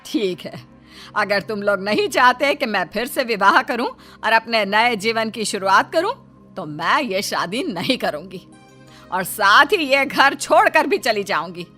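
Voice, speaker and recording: A woman plays a determined elderly widow in a Hindi family melodrama. The voice is sharp, bright and fairly high, pleading and defiant by nature. Music plays softly underneath. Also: lively intonation, a little slow, heavily acted. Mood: resigned, firm. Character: wounded, determined elderly widowed mother wanting to remarry.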